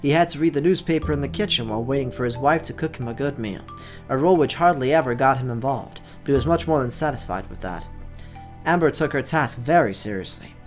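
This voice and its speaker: A let's play host with an expressive storytelling delivery, speaking to viewers. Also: medium pitch, fast. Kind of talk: expressive story narration, read aloud. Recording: music playing behind the voice. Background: background music.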